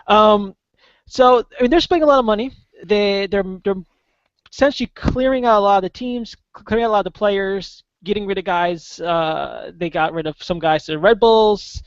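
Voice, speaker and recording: A man talking as a guest soccer contributor in a casual podcast chat, halting with many fillers and restarts. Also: high-pitched, clean tone, dry recording. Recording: dull lo-fi podcast audio, dry.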